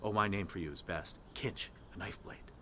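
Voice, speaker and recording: A man's voice: sits low, bright, forceful tone, slight background noise. A man voicing a plump, mocking young man who declaims grandly and teases in a jovial, theatrical way. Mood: frank, scornful. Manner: grandiose mock-preacher declamation, teasing, briskly jovial.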